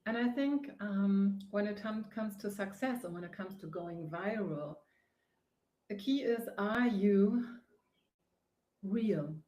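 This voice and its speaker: A woman's voice: mid-range voice, slow pace, dark tone. German-accented woman, earnest, echoey room. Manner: earnest, searching for words, frequent hesitations. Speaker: host, face yoga founder. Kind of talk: inspirational live-stream talk. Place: sitting on a sofa.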